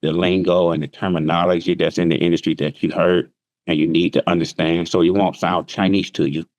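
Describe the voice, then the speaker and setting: A man's voice: sits low, flat delivery, a little fast, darkish tone, no room sound. A man teaching trading, explaining plainly with everyday examples on a remote call. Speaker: trading instructor. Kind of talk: casual interview answers.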